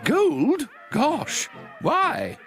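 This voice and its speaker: A man voicing a sweet but sinister, excitable woman librarian. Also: extremely high pitch, extremely slow, soft background music, pitched above the performer's own voice, full character voice. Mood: gushing. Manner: fluttery, gushing, excitable.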